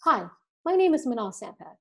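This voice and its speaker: A woman's voice: fairly high pitch, sing-song, fairly quick, creaky, a bit bright. A middle-aged woman from India raised in the Virgin Islands, a dental marketing coach speaking earnestly on a podcast. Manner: earnest, speaks from the heart, acts out quoted dialogue. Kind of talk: casual podcast conversation.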